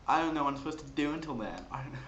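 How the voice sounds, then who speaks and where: Man doing a whiny high Valley Girl. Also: animated, racing, a bit bright, highs cut off. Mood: whiny, disgusted. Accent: Californian Valley Girl. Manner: gushing, filler-laden teen drawl. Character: whiny, dramatic, shallow teenage Valley Girl. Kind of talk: exaggerated comic character monologue. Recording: roomy, noisy home recording.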